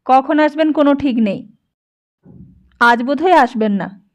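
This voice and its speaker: A woman's voice: high voice, a little slow. A woman voicing a reserved, polite male estate employee in an audiobook, answering briefly and with indifference. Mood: polite, indifferent. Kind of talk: dramatised audiobook dialogue.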